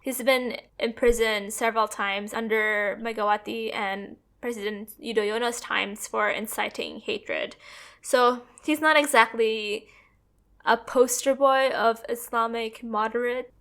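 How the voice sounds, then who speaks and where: A woman's voice: fairly high pitch, steady tone, measured pace, a bit bright. A woman co-hosting a podcast, explaining politics in long, earnest stretches of casual talk. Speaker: podcast co-host.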